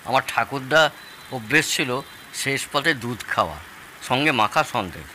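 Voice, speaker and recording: A man's voice: mid-range voice, lively intonation, moderate pace, bright, forceful tone, a little hiss or hum. A man playing a genial, nostalgic older father-in-law who loves telling stories in an audio drama. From Bangladesh. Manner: expansive, nostalgic storytelling. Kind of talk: Bengali audio drama acting.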